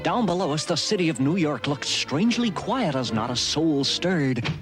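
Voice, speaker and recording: A man's voice: fairly high pitch, lively intonation, moderate pace, music in the background, close to an everyday voice. A man playing a dashing hero captain who narrates a mock-heroic adventure serial. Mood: mock dramatic.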